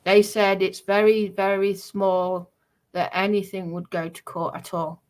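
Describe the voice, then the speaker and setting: A woman's voice: medium pitch, flat delivery, measured pace, a little mellow, low-quality recording. A woman in an aggrieved, emphatic livestream talk, often repeating herself to press her point.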